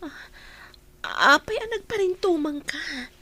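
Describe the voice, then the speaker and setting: Sweet young Ilocana sweetheart for radio drama; high pitch, unhurried pace. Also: female voice, expressive, bright, forceful tone, a little hiss or hum, exaggerated voice. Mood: moved, hesitant. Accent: Filipino, Ilocano. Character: sweet young woman being courted by her boyfriend.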